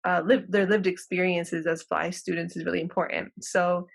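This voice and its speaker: A woman's voice: lowish voice, normal speed, creaky. Chatty woman guest on a video call. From Los Angeles County, California. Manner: relaxed and chatty, many ums and small laughs. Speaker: guest, professor. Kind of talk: casual interview conversation. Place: home room, video call. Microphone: video call computer mic.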